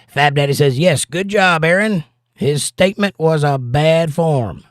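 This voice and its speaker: Man, hoarse voice, slightly high pitch, folksy livestream host, dry close mic. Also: a little slow, no room sound. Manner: folksy, plain-spoken advice. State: hoarse, voice partly lost. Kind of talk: casual livestream chat, reading viewer comments.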